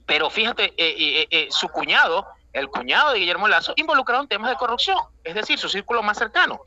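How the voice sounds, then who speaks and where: A man's voice: sits high, very animated, fast, sharp tone, muffled recording. A man with a Venezuelan accent, an international analyst giving emphatic, rambling political commentary with many fillers, calling from an airport. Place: airport waiting area.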